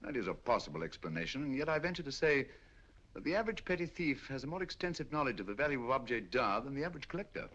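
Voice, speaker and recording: Brief: sharp British detective, quick lively delivery, crisp questions, muffled old film sound. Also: male voice, medium pitch. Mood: probing. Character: sharp, inquisitive male detective. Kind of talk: 1940s film acting.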